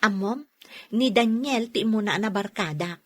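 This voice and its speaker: A woman's voice: medium pitch, unhurried, fairly bright tone. A woman with an Ilocano accent playing a chatty, teasing young friend in an audio drama. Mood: gossipy, confiding. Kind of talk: radio drama acting in Ilocano.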